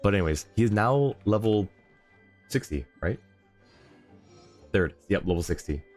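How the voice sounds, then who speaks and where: Low-voiced man chatting on a game stream. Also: expressive, normal speed, darkish tone, faint music behind. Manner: chatty, thinks aloud, reads out chat. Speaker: game livestreamer. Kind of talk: casual livestream chat and game commentary.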